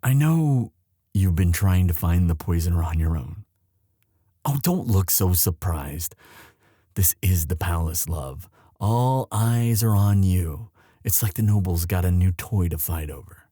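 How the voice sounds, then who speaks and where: A man playing a lovestruck young prince in an intimate audio roleplay, tender and protective, with a halting, emotive delivery. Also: low voice, expressive, dark tone, breathy edge, no echo, clean studio sound, full character voice. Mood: calm, affectionate. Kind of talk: boyfriend ASMR audio roleplay drama.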